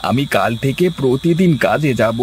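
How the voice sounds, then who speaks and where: Lazy village husband for a cartoon man acting, mid pitch, bossy and coaxing, crickets behind. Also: lively intonation, moderate pace, close to an everyday voice. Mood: complaining. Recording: noisy track with chirping crickets.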